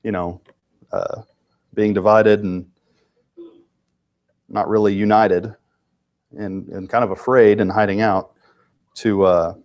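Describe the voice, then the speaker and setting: A man's voice: lowish voice, level tone, slow, long pauses, a little mellow, dull audio. A man with a congested voice teaching a church Bible class in a loose, conversational way. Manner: informal, with restarts and asides to the class.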